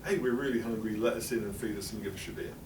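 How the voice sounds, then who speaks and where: A man's voice: lowish voice, fast talker, background noise. A middle-aged English man giving a chatty, wry talk in a library, full of fillers and asides. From England. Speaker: presenter. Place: public library meeting room. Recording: roomy hall, speaker far from mic.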